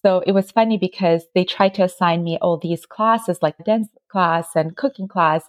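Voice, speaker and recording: A woman's voice: on the low side, normal speed, dry recording. A woman from Ukraine, a native Ukrainian speaker, talking about her career in a casual, reflective interview. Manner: reflective, telling stories with small laughs. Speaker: podcast guest.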